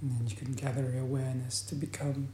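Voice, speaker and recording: Male meditation teacher, calm, unhurried delivery, long pauses, echoey room, faint background noise. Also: mid-range voice. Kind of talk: guided meditation.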